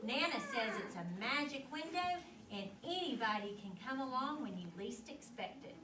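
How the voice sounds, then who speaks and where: A woman reading a picture book aloud to children, expressive and teacherly, pausing to put questions to her young listeners. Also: high-pitched. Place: echoey indoor room at a school literacy event. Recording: roomy, distant mic with clear background noise.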